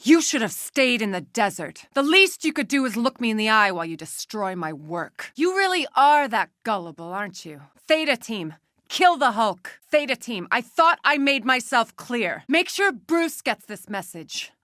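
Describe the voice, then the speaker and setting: A woman's voice: a little high, big pitch swings, moderate pace, pushed and bright, dry recording. A ruthless female scientist villain from a video game. She speaks with clipped, precise authority and cold calculation. The recording is close and dry, with no room sound. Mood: angry, threatening. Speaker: game voice actress. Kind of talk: dramatic video game voice acting.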